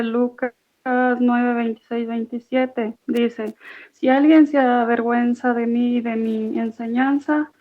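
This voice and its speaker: A woman from Guatemala calling in by phone to give her personal testimony, speaking slowly and hesitantly. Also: fairly high pitch, vocal fry. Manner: slow, hesitant, flat. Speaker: caller giving testimony. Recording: dull lo-fi phone line.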